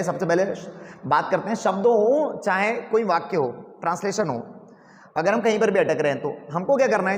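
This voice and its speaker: Male teacher, high voice, rhetorical questions to students, roomy classroom echo. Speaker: English teacher. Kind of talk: classroom lecture.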